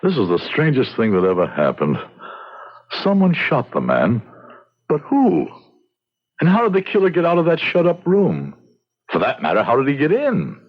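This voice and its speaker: An actor playing an earnest, compassionate frontier judge in a radio drama, speaking in a formal, emphatic way. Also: male voice, medium pitch, wildly swooping pitch, moderate pace, long pauses. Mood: astonished, incredulous. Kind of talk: radio drama acting and narration. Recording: slightly dull radio drama recording.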